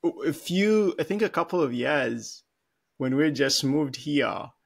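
A fairly high-voiced man in his thirties from Africa tells a story over a headset on a video call from a small room at home. He rambles and corrects himself, often laughing at his own point. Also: lively intonation, unhurried, vocal fry, husky. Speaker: podcast co-host. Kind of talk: casual conversational storytelling. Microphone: over-ear headset on a video call.

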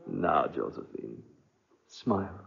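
An actor playing an ardent, teasing suitor, flirtatious and insistent, in 1940s radio style. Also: male voice, rumbling low, animated, slow, vocal fry, fairly bright tone, husky, full character voice. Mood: tender, coaxing. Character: ardent, teasing suitor wooing a married woman. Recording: dull, muffled 1940s radio recording.

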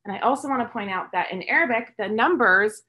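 A middle-aged woman hosting a children's Arabic storytime from home over a webcam, cheerful and encouraging, slowly repeating words for the kids. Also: a little high, expressive, bright, forceful tone, slightly rough. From born and raised in English-speaking countries, Palestinian roots. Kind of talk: teaching numbers to young children over a video stream. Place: home room with shelves of toys and books. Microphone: webcam at close range, video call.

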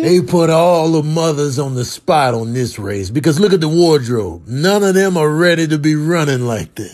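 A man's voice: a little high, a little mellow. A man doing comic commentary with emphatic, slangy, playful exaggeration. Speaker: comedian commentator.

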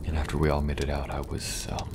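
Man acting weary young agent, extremely low voice, soft-spoken, halting, dry and kind, ASMR roleplay, some background noise. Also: completely flat delivery. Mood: calm, reassuring. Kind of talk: intimate ASMR audio roleplay.

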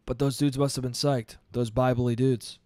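A man's voice: medium pitch, little pitch movement, moderate pace, dark tone, dry recording. A young man in his twenties hosting a solo podcast in a small home room, talking loosely and profanely, a little congested. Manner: loose, profane, rambling, laughs at own jokes. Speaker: podcast host. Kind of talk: casual solo podcast monologue. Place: small bedroom studio. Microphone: boom-arm studio mic up close.

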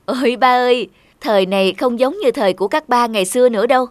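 A woman's voice: a little high, fast, dry recording, close to an everyday voice. A woman playing a complacent young office-worker son in a cartoon, explaining himself with self-assurance. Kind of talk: cartoon dialogue.

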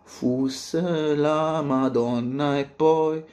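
A man in his thirties talking casually to camera as a football fan vlogger, with an animated, theatrical delivery that repeats phrases for emphasis. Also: a little high, drawn-out words. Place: small home room, indoors.

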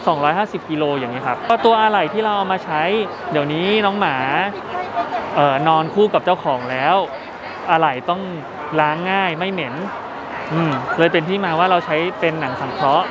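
A young man with a rather high voice talks through his wares at an indoor expo booth. He is friendly and explanatory, pausing often on fillers. The camera mic picks him up close, with a noisy hall behind him. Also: muffled recording, crowd noise. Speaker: brand founder presenting products. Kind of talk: casual product pitch. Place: trade show booth, indoors.

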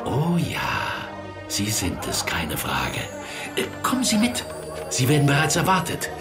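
A furtive, evasive go-between from a seedy pub in an audio drama. His clipped lines leap wildly in pitch over music. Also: wildly swooping pitch, measured pace. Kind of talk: German audio drama acting. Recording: music behind the voice.

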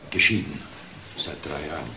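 Low-voiced reserved man in an echoey room. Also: normal speed. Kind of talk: German crime radio drama acting. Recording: roomy echo, faint noise.

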